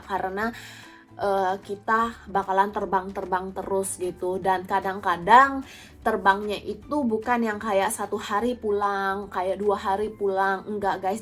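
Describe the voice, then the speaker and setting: A young woman with an Indonesian accent, talking casually and informally to viewers as she answers their questions. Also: medium pitch. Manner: informal, conversational, addresses viewers directly. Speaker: vlogger, former or current flight attendant. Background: background music.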